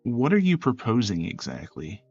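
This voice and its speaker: Man acting a measured young prosecutor, dull sound. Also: medium pitch, huge pitch swings, gravelly, a little mellow, breathy edge, no echo, faint music behind, heavily acted. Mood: hesitant. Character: John Rockford, polite, reserved young prosecutor, male. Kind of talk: visual novel character voice acting.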